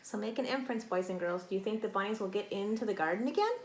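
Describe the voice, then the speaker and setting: A woman in her thirties or forties reads a children's storybook expressively, with deliberate dramatic emphasis, speaking directly to young listeners. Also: medium pitch. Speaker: teacher reading a picture book aloud to children. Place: small indoor room. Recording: roomy echo, faint noise.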